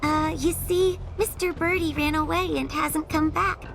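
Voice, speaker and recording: A woman's voice: high voice, steady tone, measured pace, creaky. A woman voicing an earnest small child with a simple, childlike way of talking. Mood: earnest, eager. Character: earnest small child looking for a lost pet bird. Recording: music behind the voice.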